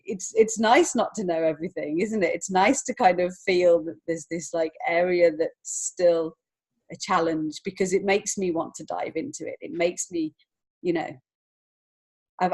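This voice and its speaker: A woman's voice: lowish voice, a little mellow, husky. A woman speaking as an interview guest in an earnest, reflective and encouraging way. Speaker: podcast guest, children's author and illustrator. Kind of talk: conversational interview answers.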